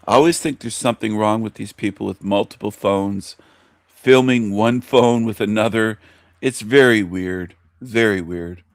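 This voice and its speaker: A man's voice: lowish voice, moderate pace, no room sound, studio quality. A man giving scornful commentary, mocking and sneering at a rival's clip. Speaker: commentary youtuber.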